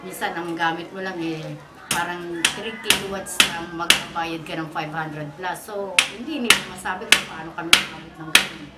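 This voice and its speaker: A woman's voice: lowish voice, steady tone, moderate pace, loud music behind the voice. Echoey, distant Filipino woman. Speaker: relocation site resident being interviewed. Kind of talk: informal spoken testimony, complaining. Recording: echoey, distant mic, very noisy surroundings. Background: loud ambient noise.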